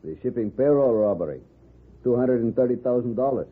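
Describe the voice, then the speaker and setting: An actor playing a suave, menacing gentleman villain in a radio western, speaking formally and deliberately with cool threat. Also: medium pitch, animated, slightly rough. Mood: quiet menace. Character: suave, menacing Mexican gentleman villain, scheming and coldly confident. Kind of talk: old-time radio western acting. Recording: muffled, noisy old radio recording.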